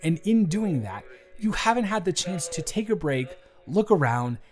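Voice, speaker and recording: A man's voice: high voice, very animated, moderate pace, darkish tone. A man playing a fond, chatty office boss in his early thirties in a romantic audio roleplay, speaking earnestly and playfully straight to the listener. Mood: sincere, encouraging. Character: warm, earnest thirty-three-year-old male office boss, fond and encouraging. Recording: background music. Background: music.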